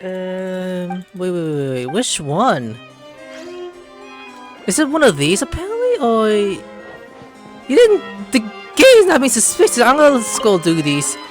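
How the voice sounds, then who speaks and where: A woman hosts a casual game playthrough. Her mid-pitched voice swings dramatically up and down, slangy and often muttered to herself, over faint music. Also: very animated, slow pace. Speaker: gaming video host.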